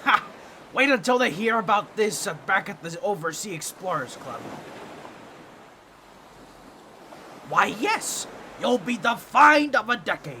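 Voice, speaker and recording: A man voicing an excitable, boastful talking octopus in a comedic audio roleplay, with a nasal, squawky voice and a fussy, theatrical, pompous way of talking to the listener. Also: high-pitched, normal speed, slight background noise, full character voice. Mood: excited, boastful.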